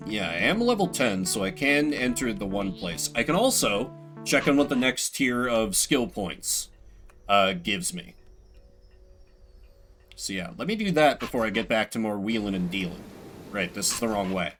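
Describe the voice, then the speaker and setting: A man's voice: on the low side, sing-song, moderate pace, fairly bright tone, soft background music. A man giving casual, wry, joking commentary on a game he plays, reading its text aloud as he goes. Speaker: video game streamer.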